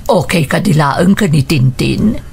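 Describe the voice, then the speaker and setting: An actress playing a tender, wistful older widowed mother in a radio drama, with a husky voice. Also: low voice, expressive, a little slow, darkish tone, heavily acted. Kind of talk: Ilocano radio drama acting.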